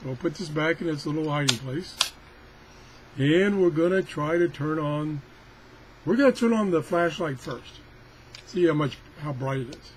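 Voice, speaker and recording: A man's voice: on the high side, moderate pace, a little hiss or hum, poor audio. A man giving a casual hands-on product demonstration as a video reviewer, rambling and thinking aloud. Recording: weather radio chattering in the background.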